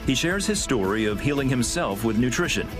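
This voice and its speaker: A man's voice: mid-range voice, sing-song, fairly quick. A man reading scripted, promotional narration for a TV segment. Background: guitar music.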